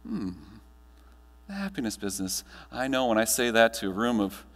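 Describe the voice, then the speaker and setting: A man giving a motivational keynote to a live audience, telling stories with dramatic pauses and emphatic delivery. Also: medium pitch, sing-song. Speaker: keynote speaker. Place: large room, live audience, amplified. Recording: echoey hall, long reverb.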